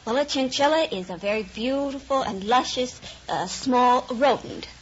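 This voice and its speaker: Higher-voiced American woman, good-humoured contestant. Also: normal speed. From Kansas, USA. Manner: good-humoured, giggly, hesitant explanations. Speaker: quiz show contestant, chinchilla rancher. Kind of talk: unscripted-sounding interview chat on radio quiz. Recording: dull noisy old radio recording, studio audience.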